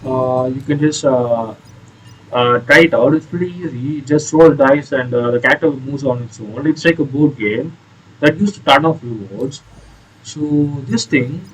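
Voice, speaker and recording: A man giving casual, explanatory commentary on a video game playthrough in a hesitant, halting way. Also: mid-range voice, slightly rough, rough recording. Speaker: gaming video creator.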